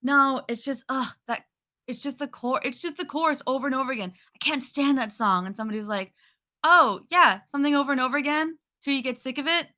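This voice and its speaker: A woman's voice: high voice, quick pace, sharp tone. A woman on a panel chatting casually in an informal, wry manner. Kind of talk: casual chat.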